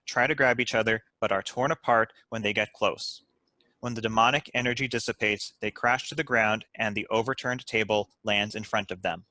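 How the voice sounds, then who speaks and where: A man's voice: medium pitch, sharp tone, no echo. A man reading stage directions aloud as scripted narration for a comedy script.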